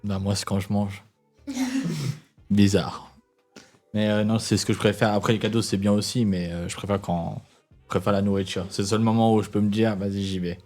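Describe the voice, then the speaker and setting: A man's voice: fairly low pitch, lively intonation, normal speed, a little mellow, faint music behind. A man in his twenties co-hosting a casual live chat in a joking manner. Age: 20s. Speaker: co-host.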